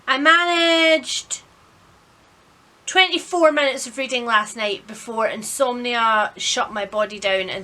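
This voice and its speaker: A woman vlogging at home in a casual, chatty, expressive and self-deprecating way about her reading. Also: mid-range voice. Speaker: vlog host. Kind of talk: casual vlog chat about a reading marathon. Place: indoors at home.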